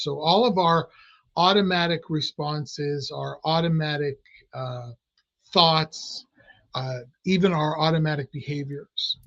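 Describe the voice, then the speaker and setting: A man with a fairly high voice explains hypnosis in a relaxed interview, often restarting his sentences. His voice sounds dry and a bit dull, like remote audio. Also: no echo. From Italian American family. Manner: explanatory, many restarts and fillers. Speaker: clinical hypnotist guest. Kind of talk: casual interview talk.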